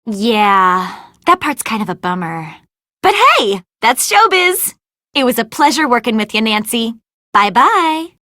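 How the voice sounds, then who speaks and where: A ditzy, fame-hungry young actress from an adventure game. Her voice is high and wildly expressive, with a dry, close studio sound. She gushes theatrically, like a gossip in love with herself. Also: a little slow, dry recording, heavily acted. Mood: gleefully menacing. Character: vain, ditzy, dramatic young movie actress, scheming for fame. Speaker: video game voice actor. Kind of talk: animated adventure game dialogue.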